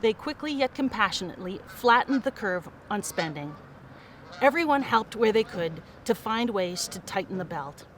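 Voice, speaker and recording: A woman's voice: medium pitch, lively intonation, normal speed, a bit bright. Earnest woman making a donation appeal. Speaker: fundraising speaker. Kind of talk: prepared speech.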